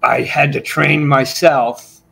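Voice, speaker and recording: A man's voice: a little high, steady tone, slow pace, gravelly, a bit bright, slight background noise. Talkative older man on a video call. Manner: talkative, rambling storyteller. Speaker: bassist guest. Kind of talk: casual interview chat. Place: small home room with shelves. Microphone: video call with desk mic.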